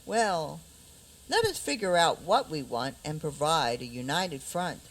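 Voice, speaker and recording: A woman's voice: deep voice, big pitch swings, unhurried, full character voice, low-quality recording. A woman acting a dreamy yet bold fairy-tale princess in a community radio play, with a theatrical delivery. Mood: resolute.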